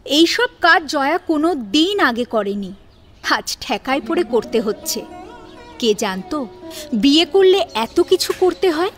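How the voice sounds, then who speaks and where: Woman reading a family drama story, high voice, super lilting, music in the background. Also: sing-song, measured pace. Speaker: story narrator. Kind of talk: expressive scripted narration of a domestic drama. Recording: music behind the voice.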